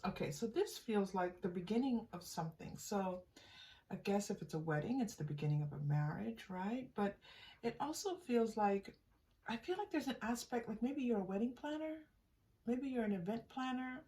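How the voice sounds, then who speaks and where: A woman reads tarot for the viewer, recorded a little away from the microphone. Her voice sits at an average pitch for a woman. She speaks casually and off the cuff, feeling her way through phrases and often checking that the listener follows. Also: dark tone, recorded from a distance, low-quality recording. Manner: halting, conversational, often seeking agreement. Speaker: tarot reader and channel host. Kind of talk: casual improvised spiritual reading to the viewer.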